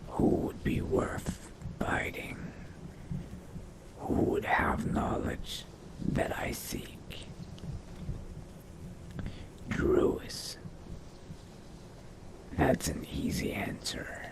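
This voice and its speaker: Video game vampire mage, played by a man: cold, hungry, brooding. Very slow, whispered, gravelly musing to himself. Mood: sated, scheming. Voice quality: low gravelly breathy whisper. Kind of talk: in-character video game roleplay monologue.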